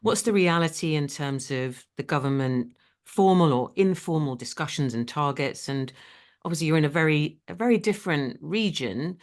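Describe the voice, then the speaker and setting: Low-voiced chatty woman podcast host. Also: normal speed. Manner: chatty, animated questioning. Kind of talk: podcast interview.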